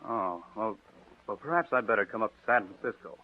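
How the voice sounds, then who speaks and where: An actor playing a scheming young nephew who talks earnestly and stammers in a 1940s radio drama. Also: male voice, lowish voice, lively intonation, a little fast. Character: scheming young male nephew feigning devotion. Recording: tinny old radio broadcast.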